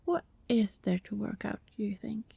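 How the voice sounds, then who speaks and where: A woman playing an anxious, apologetic vampire neighbor in an ASMR roleplay, soft and hesitant, often whispering, with politely formal wording. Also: medium pitch, fairly even intonation, normal speed, vocal fry, breathy edge, no echo, exaggerated voice. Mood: reluctant, sheepish.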